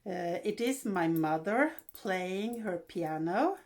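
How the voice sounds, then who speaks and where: A woman in her sixties from Norway with a Norwegian accent, hosting a casual vlog in English and talking in a halting way with fillers and restarts. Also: on the low side, lively intonation. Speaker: vlog host.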